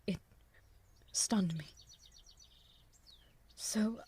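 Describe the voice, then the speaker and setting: A woman playing a gentle, patient young wife who speaks softly, often in a whisper. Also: mid-range voice, extremely slow, heavily acted. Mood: calm whisper. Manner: soft, often whispered, breathy.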